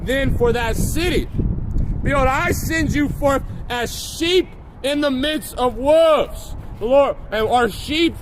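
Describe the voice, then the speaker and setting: A man with a slightly raspy voice from a mild sickness, preaching and reading scripture emphatically outdoors. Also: very high-pitched. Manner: emphatic, drawn-out declaiming when reading verses. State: coming down with a slight illness. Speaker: street preacher livestreaming. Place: outdoors in strong wind. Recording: windy, very noisy outdoor livestream.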